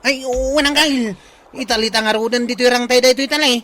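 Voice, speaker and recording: A man's voice: very high voice, fairly even intonation, a little fast, sharp tone. A man with an Ilocano accent acting as an eager, deferential village official in a comedy drama. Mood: frantic. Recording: noisy outdoor location sound.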